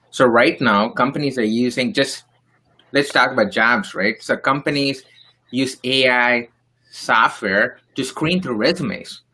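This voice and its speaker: A man from India talks through his point in a roundtable discussion, earnest and full of examples. His voice is bright and forward. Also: medium pitch. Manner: earnest, explains with examples and asides. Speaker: panelist.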